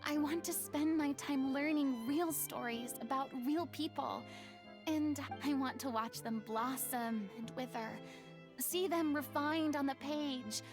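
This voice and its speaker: A woman's voice: high voice, unhurried, creaky. A woman voicing a refined young former stage star in a video game, eloquent, formal and theatrical. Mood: reflective. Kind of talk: English video game voice acting. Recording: music playing behind the voice.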